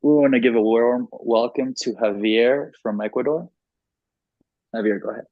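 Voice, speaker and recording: A man's voice: medium pitch, a little slow, no room sound. Guy interpreting on a group call, dry muffled audio, mid-range voice. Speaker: interpreter between English and Spanish. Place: online group video call.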